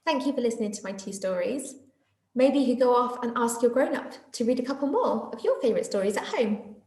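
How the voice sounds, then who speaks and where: A woman reads children's stories in the manner of a schoolteacher, talking directly to her young listeners. Her voice is high and very expressive, with wide swings in pitch. It is recorded in an echoey room. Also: a little fast. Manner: addresses young children with questions. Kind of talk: expressive children's story reading.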